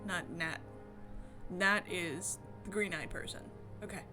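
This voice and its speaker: Expressive woman streamer over prominent music. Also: lowish voice, a little slow. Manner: expressive, reactive, frequent exclamations. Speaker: streamer doing a playthrough. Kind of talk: casual game commentary, reading visual novel text aloud. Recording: music in the background. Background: game music.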